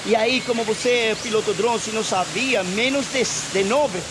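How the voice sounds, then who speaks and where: A high-voiced man with as his talks excitedly outdoors beside a waterfall, chatty as he flies a drone. Loud hiss and rushing water sit behind his voice. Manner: excited, chatty, mixes English, Portuguese and Spanish. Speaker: vlogger and drone pilot. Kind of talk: casual outdoor vlog talk.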